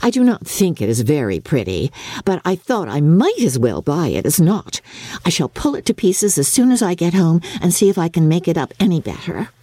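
A woman's voice: lowish voice, very animated, normal speed, mellow, dry recording. A woman voicing a giddy, gossipy, careless teenage girl in an audiobook, gushing and chattering. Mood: careless, chatty.

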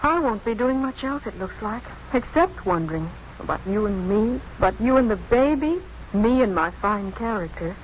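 An actress playing an anxious, wry young expectant wife in 1950s radio drama, with a halting, self-mocking way of talking. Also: female voice, medium pitch, lively intonation, lightly acted. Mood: vulnerable. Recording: dull, faintly noisy 1950s radio broadcast.

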